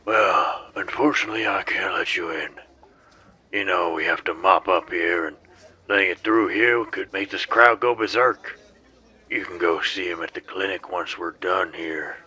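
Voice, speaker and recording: A man playing a gruff, weary space sheriff in an audio drama, with a rough, folksy, firm manner. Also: low voice, moderate pace, bright, forceful tone, exaggerated voice. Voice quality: rough, raspy.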